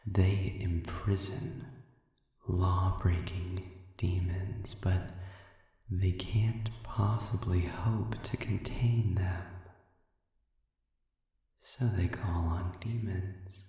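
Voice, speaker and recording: Man playing a very slow, low-voiced suave demon. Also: fairly even intonation, warm tone, full character voice. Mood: disdainful, insinuating. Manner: measured, deliberate, condescending, formal wording with lingering pauses. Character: suave, sadistic, manipulative male demon prisoner. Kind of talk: audio roleplay, slow intimate monologue addressed to listener. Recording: echoey hall-like reverb, distant.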